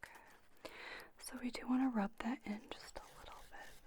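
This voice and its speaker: A woman doing a whispered ASMR roleplay as a spa owner, gently explaining each step to a trainee. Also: fairly high pitch, steady tone, moderate pace. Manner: gentle, instructional, step-by-step narration.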